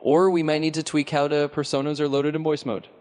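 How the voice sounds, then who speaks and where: A man's voice: on the high side, flat delivery, brisk, darkish tone. A man's voice as an AI chatbot assistant, explaining in an easygoing, reassuring way. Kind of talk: casual explanation.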